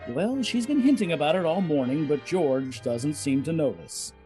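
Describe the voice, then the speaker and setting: A man narrating as the announcer of an old-time radio comedy, with a husky voice. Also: on the high side, lively intonation, moderate pace, dark tone, soft background music.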